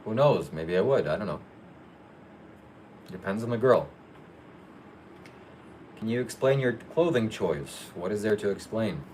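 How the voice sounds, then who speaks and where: A man hosting a casual live Q&A, reading viewer questions aloud and answering them briefly in a matter-of-fact, low-key way. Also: expressive, a little slow, long pauses, darkish tone, slight background noise. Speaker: livestream host.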